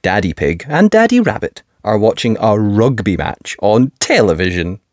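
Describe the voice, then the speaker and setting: A woman narrating a children's picture book as an expressive storyteller. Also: very deep voice, normal speed, no echo, clean studio sound. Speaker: storyteller, channel host.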